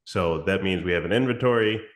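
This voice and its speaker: A man with a very low, raspy voice, hoarse from a recent cold. He explains things in a relaxed, conversational way, often restarting his sentences. He sounds like he is in his thirties. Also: brisk. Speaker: music promotion agency founder. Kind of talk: casual interview chat.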